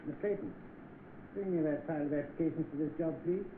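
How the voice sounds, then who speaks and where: An actor playing a businesslike office boss in 1930s film style. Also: male voice, on the high side, quick pace, natural voice. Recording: noisy narrow-band old film soundtrack.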